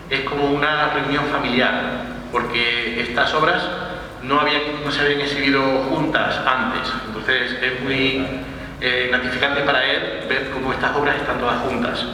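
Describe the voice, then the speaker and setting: Bright-voiced male interpreter in a hall. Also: medium pitch, slight background noise. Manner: reporting speech with "dice que". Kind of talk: consecutive interpreting. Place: large echoey museum hall. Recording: echoey hall, distant mic.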